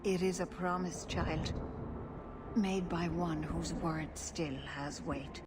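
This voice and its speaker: Wise elf elder lady, very slow n grave, fantasy game, music playing behind her. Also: lowish voice, little pitch movement, noisy background. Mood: grave, measured. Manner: measured, grave, formal. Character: wise, solemn elven woman, keeper of her clan. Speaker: clan leader and spiritual guide. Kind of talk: fantasy video game dialogue.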